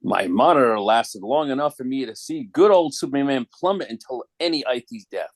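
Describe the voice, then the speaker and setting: A man's voice: medium pitch, lively intonation, normal speed, no echo. A man voicing a smug, weaselly toymaker villain with a pompous, florid way of talking in a comic book reading. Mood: gloating.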